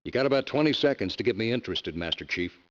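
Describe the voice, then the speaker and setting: An actor playing a decisive submarine captain who gives clipped orders. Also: male voice, fairly low pitch, animated, quick pace, natural voice. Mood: commanding. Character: decisive adult male submarine captain, terse. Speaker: submarine captain in a video game. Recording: dull lo-fi game audio.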